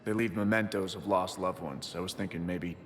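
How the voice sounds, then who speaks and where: Gravelly, reflective military pilot. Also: male voice, low-pitched, steady tone, fairly quick, long reverb tail. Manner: reflective, subdued, sincere. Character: grieving male military pilot, reflective, loyal, knows his ships. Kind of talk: video game voice acting.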